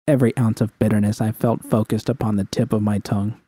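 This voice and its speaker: A man's voice: on the low side, level tone, fast, dark tone, slightly rough, no room sound. A man reading dramatic first-person fiction as an audiobook narrator.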